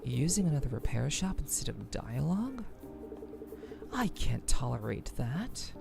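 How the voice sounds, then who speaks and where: A woman voicing a stern, possessive repair shop keeper in a game, speaking in a commanding, deliberate way. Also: a bass voice, sing-song, vocal fry, music in the background, exaggerated voice. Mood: indignant, commanding.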